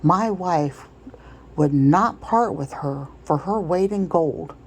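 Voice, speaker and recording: A woman voicing a reserved gentleman master in a storybook read-aloud, formal, dry and firm in his reluctance. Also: low-pitched, expressive, takes it slowly, slight background noise, full character voice, low-quality recording.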